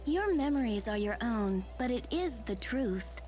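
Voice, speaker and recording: A woman's voice: fairly high pitch, animated, a little slow, darkish tone, faint music behind. A woman voicing a haughty, airy female great spirit and protective big sister in a dubbed game cutscene, speaking in a languid, lofty way.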